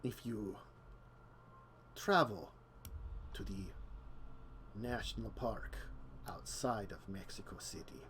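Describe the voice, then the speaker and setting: Guy gm rambling thru a vampire game, slow talker, faint keyboard clicks behind. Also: on the low side, a bit bright, a little hiss or hum. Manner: casual, rambling, many fillers. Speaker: game master. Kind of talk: narrating a tabletop vampire game.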